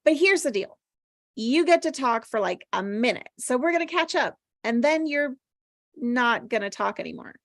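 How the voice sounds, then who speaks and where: A woman's voice: a little high, lively intonation, normal speed, no room sound, studio quality. A woman playing a plucky, quirky and defensive young woman at a script table read. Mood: brisk, pointed.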